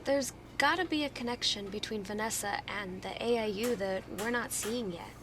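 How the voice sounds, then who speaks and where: A woman's voice: mid-range voice, moderate pace, noisy background, lightly acted. A woman playing a friendly, inquisitive amateur investigator in natural audio drama dialogue. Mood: curious, friendly. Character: Cam, friendly, inquisitive female fellow investigator.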